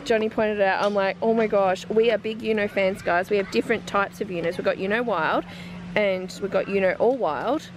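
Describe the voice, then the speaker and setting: A woman in her forties with an Australian accent, chatting excitedly and laughing as she films a shopping trip on a handheld camera inside a big warehouse store. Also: medium pitch, brisk, noisy background. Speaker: family vlogger. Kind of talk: casual vlog narration while shopping. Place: large warehouse store indoors.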